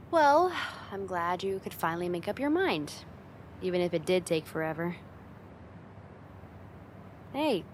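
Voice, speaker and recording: A woman's voice: on the low side, a little hiss or hum. A woman playing a confident, teasing young woman, a friend's older sister, in a casual ASMR roleplay spoken straight to the listener. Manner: playful, teasing, casual, giggly, lightly exasperated.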